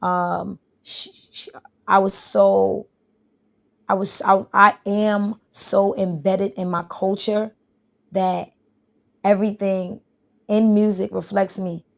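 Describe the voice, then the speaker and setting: Gravelly, flat-voiced New woman rapper. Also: mid-range voice, slow. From New York. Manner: rambling, relaxed, many fillers. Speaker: rapper being interviewed. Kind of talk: interview answers.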